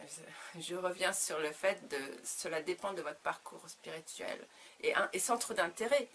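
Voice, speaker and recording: A woman speaking her native French as an author in an interview, reflective and halting as she searches for words. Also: lowish voice, fairly bright tone. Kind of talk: reflective interview answers about own work.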